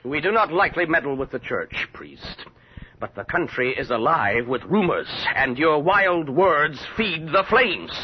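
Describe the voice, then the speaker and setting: Haughty hot-tempered prince, swooping voice. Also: male voice, on the high side, big pitch swings, unhurried. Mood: imperious. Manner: imperious, declamatory. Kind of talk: 1980s radio horror drama acting. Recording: muffled, noisy old radio recording.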